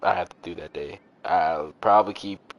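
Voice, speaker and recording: Low-voiced man rambling on a livestream. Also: fairly even intonation, moderate pace, gravelly, highs cut off. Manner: rambling, profane, slangy. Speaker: live streamer. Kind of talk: casual gaming livestream chat. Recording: game music behind the voice. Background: video game music.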